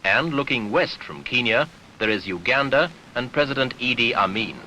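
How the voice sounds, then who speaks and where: A man narrating a 1970s documentary newsreel in a formal, measured, authoritative way, on an archival soundtrack. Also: mid-range voice, animated, pushed and bright, a little hiss or hum, rough recording.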